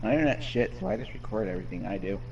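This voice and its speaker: A man's voice: fairly low pitch, very fast, darkish tone. A man in casual voice chat, mocking and insulting his friends and giggling. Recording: dull lo-fi mic with faint hiss.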